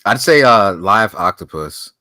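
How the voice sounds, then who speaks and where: A man's voice: on the low side, animated, a little slow, sharp tone, dry recording. A man chatting as a guest on a livestream panel in a wry, offhand way. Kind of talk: casual chat.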